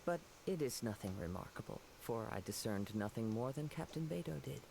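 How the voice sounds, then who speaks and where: An actor playing a calm, poetic young wandering samurai in a video game, speaking formally and courteously in a measured way. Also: male voice, medium pitch, vocal fry, slight background noise, poor audio. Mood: calm, approving. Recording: game music behind the voice.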